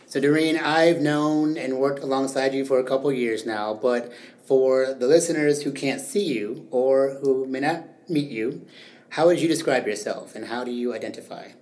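A young man hosting an educational interview podcast, reading prepared definitions and asking measured questions. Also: medium pitch, a little mellow. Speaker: podcast host. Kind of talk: semi-scripted educational interview.

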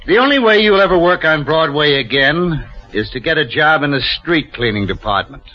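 An actor playing a hard-boiled publicity agent in an old radio drama, blunt, impatient and scornful. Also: male voice, a little high, expressive, normal speed, faint music behind. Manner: blunt, brusque, dismissive. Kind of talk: 1940s radio drama acting. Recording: muffled old radio recording.

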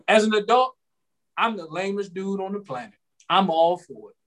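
Young man, late 20s, podcast co-host, high voice, loose rambling slang delivery. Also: animated, moderate pace, fairly bright tone. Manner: rambling, repeats himself, slangy. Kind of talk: casual podcast chat.

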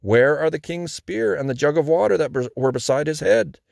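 A man's voice: a little high, lively intonation, moderate pace, vocal fry, a little mellow, no room sound, studio quality, voice pushed up. A man voicing a bold young warrior who calls out emphatically in a dramatized scripture reading. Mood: taunting. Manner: emphatic, rhetorical, calling out. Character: bold young warrior David, taunting and earnestly pleading.

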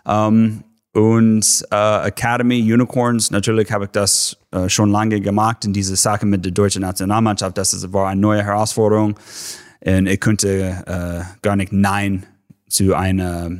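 A man from Texas with an American accent, a football coach being interviewed on a podcast, speaking halting German with English words mixed in and many fillers. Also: lowish voice, flat delivery. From Texas, USA. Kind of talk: casual interview.

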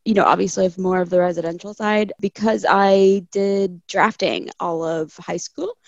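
A woman's voice: mid-range voice, fairly even intonation, moderate pace, dry recording, muffled recording. A young woman from Chile, a landscape architect, chatting casually as a guest and laughing often. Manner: chatty, laughs often, quotes people in asides. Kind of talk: casual interview conversation.

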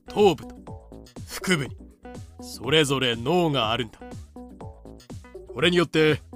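A man's voice: medium pitch, a bit bright, natural voice. A man voicing a confident, matter-of-fact cockroach, explaining in casual masculine phrasing for a fan-made voice drama.

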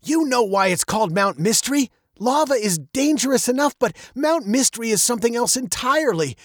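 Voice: medium pitch, expressive, fairly quick, dry recording, clean studio sound, pitched above the performer's own voice. A storyteller voicing a protective, easily flustered father with a stern, emphatic manner in a children's tale.

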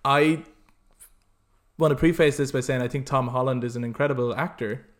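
A man's voice: mid-range voice, steady tone, moderate pace. A man hosting a podcast in casual, opinionated chat, talking emphatically and swearing freely. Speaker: podcast host.

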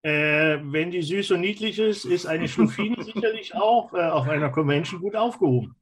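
A man co-hosting a podcast, chatting casually in halting, hesitant phrases with many pauses. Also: sits high, husky. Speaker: podcast co-host. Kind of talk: casual chat.

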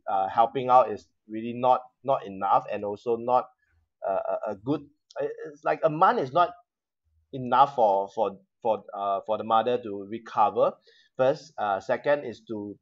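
A man's voice: mid-range voice, lively intonation, normal speed. Hesitant man hosting on a dull, lo-fi line. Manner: hesitant, many fillers and repeated words. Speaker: show host. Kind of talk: casual interview chat. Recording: dull lo-fi sound.

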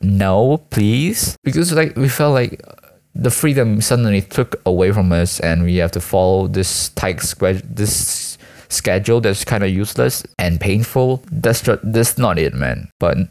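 Young man, student from Malaysia, low-pitched. Casual solo vlog ramble, halting and self-mocking, full of slang. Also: expressive, vocal fry, a little mellow. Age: teens to young adult, school student. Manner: hesitant, self-deprecating, slangy. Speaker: student vlogger and aspiring actor.

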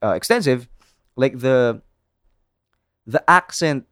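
A man's voice: mid-range voice, animated, slow pace, a bit bright, dry recording, studio quality. A man with a Filipino English accent, chatting casually and giving opinions with lots of "like" fillers. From Philippines. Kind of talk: casual chat.

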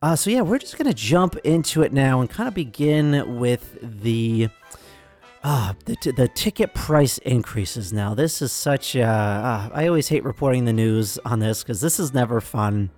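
A young man hosting a casual news podcast, hoarse from a cold, rambling with lots of fillers. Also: mid-range voice, expressive, moderate pace, a little mellow, faint music behind. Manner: rambling, filler-heavy, apologetic. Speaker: podcast host.

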